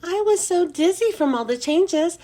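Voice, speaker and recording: A woman reads a children's chapter book aloud with a high, bright voice. Her pitch swoops up and down in an expressive, sing-song storytelling manner. She keeps a steady read-aloud style. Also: normal speed.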